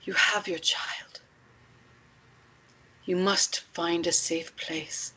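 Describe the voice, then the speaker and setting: A solemn, commanding woman , played by an actress at a table read. She speaks very slowly and deliberately, with a forceful, bright edge. Every phrase is insistent, in the manner of serious drama. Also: lowish voice, fairly even intonation, husky, whispered, heavily acted, low-quality recording. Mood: solemn resolve. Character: Brida, solemn, commanding woman guiding a distraught companion. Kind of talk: screenplay table-read acting.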